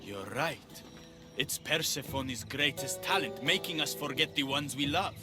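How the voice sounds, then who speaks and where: A man's voice: mid-range voice, unhurried, over music, close to an everyday voice. A man playing an earnest, self-doubting rebel leader with a sorrowful streak in a video game. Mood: resolved. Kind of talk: video game cutscene acting.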